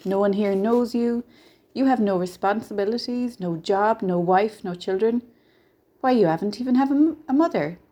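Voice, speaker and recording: A woman's voice: medium pitch, lively intonation, moderate pace, natural voice. Woman as a kindly, mysterious little peddler man. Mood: delighted. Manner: patient, coaxing, brightly reassuring.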